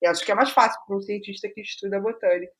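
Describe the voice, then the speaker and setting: A Brazilian woman speaking casually as an interview guest, telling stories in an animated way and thinking aloud with rhetorical asides. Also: mid-range voice, very fast. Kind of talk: casual conversational interview about writing process.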